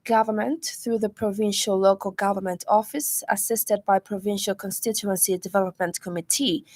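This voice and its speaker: A woman with a Zambian accent reads a scripted radio news bulletin in a formal, measured way. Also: mid-range voice, fairly even intonation, darkish tone, a little hiss or hum. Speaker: news reader.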